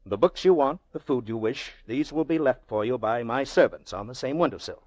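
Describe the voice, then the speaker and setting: A male actor plays a haughty, wealthy middle-aged gentleman in an old-time radio play. His mid-pitched voice swoops widely as he goads and argues, stretching key words with pompous relish. The sound is close and dry. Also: animated, no echo, lightly acted. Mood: brisk, commanding. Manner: pompous, rhetorical, drawn-out emphasis. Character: haughty, very wealthy Russian gentleman, goading and theatrical.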